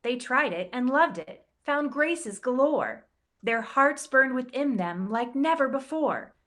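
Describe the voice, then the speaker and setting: A woman who directs campus ministry reads a rhyming picture book aloud, playful and expressive, giving the quoted lines a voice of their own. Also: high voice, slow pace. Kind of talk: storybook read-aloud in rhyme.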